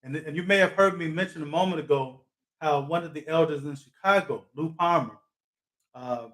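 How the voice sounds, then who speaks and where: A man's voice: a little high, normal speed, a bit bright, low-quality recording. Thoughtful male talk show host. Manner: thoughtful, with frequent fillers and reflective asides. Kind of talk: live talk show interview.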